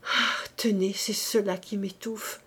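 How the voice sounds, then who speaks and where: A woman's voice: mid-range voice, fairly even intonation, takes it slowly, fairly bright tone, breathy edge, exaggerated voice. A woman voicing a distraught, guilt-ridden married woman who pleads and confesses feverishly, in dramatic audiobook style. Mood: sombre, guilty murmur. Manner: pleading, exalted, breaking into whispers. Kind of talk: dramatic audiobook character acting.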